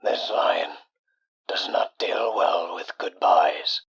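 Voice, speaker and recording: A stoic, sentimental lion of the wasteland in a radio play. He speaks extremely slowly and gravely, in a low, rasping half-whisper. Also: fairly bright tone, dull audio, exaggerated voice. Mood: solemn, wistful. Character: solemn lion-like being, stoic and sentimental, speaks in third person. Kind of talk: post-apocalyptic audio drama acting.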